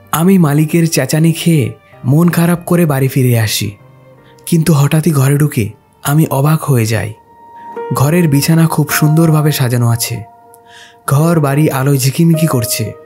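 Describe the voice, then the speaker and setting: A man telling a first-person ghost story for a cartoon, calm and reflective. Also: a little high.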